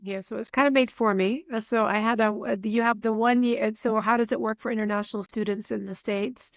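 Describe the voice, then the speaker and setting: Lively, higher-voiced woman in her fifties. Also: dry recording, studio quality. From Saudi Arabia. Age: 50s. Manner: animated, candid, quick to laugh. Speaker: guest. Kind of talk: conversational interview.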